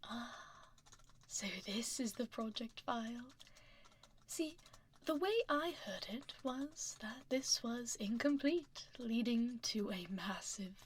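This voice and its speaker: A woman playing a powerful, stern dragon lady CEO in an ASMR roleplay, speaking in a soft, half-whispered voice with controlled, politely menacing poise, close on a binaural microphone. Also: fairly high pitch, slight background noise. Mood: dryly skeptical. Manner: deliberate, controlled, politely menacing office speech.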